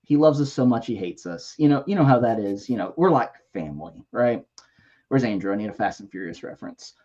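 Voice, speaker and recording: A man hosting a casual toy-collecting livestream, losing his voice a bit, reading out member shout-outs with jokes. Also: on the low side, lively intonation, brisk, highs cut off. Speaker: livestream host.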